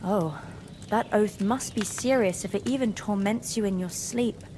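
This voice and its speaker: Young peasant daughter, played by an actress: reassuring, forthright, folksy, faint outdoor noise behind. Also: female voice, mid-range voice, unhurried, mellow, close to an everyday voice. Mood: gentle concern. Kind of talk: dramatic video game acting.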